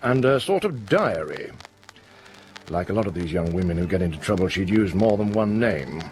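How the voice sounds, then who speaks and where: Grave, insistent police inspector, lively intonation. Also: male voice, low-pitched, a little hiss or hum. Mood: matter-of-fact, probing. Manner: measured, firm, polite but insistent. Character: grave, unshakeable male police inspector, deliberate and probing. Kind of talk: radio play acting.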